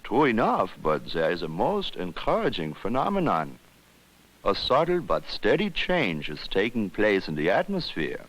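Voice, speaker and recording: An actor playing a learned alien ship's doctor in a scripted educational film, lecturing in a formal, precise, measured way. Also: male voice, lowish voice, wildly swooping pitch, fairly bright tone, exaggerated voice, low-quality recording. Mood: earnest explaining.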